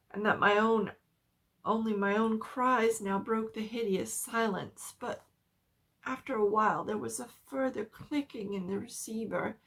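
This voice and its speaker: Slow, higher-pitched woman reading horror. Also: creaky, fairly bright tone. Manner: theatrical, halting dramatic pauses. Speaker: storyteller, narrator. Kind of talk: dramatic reading of a horror story.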